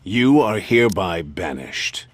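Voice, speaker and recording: A man playing a stern, domineering older military commander in a dramatic video game, gruff, scornful and commanding. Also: low voice, very animated, takes it slowly, no echo, soft background music, heavily acted. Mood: cold, scornful anger.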